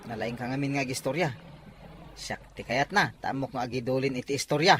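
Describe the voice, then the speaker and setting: A man's voice: medium pitch, fairly quick, slight background noise, close to an everyday voice. A man with an Ilocano accent playing a teasing, sly villager in a comic drama.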